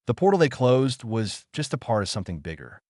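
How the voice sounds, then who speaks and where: A godlike alien watcher acted by a man speaks in solemn, portentous warnings in a space drama. The intonation is animated and the recording dry. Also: medium pitch, fairly quick, no room sound, lightly acted. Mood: ominous. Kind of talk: sci-fi audiodrama acting.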